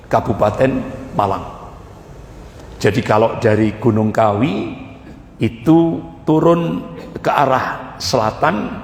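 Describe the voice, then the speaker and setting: A man preaching to a congregation, emphatic and repetitive, asking rhetorical questions. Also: mid-range voice. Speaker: Islamic preacher. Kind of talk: religious lecture to a congregation. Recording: echoey hall with long reverb.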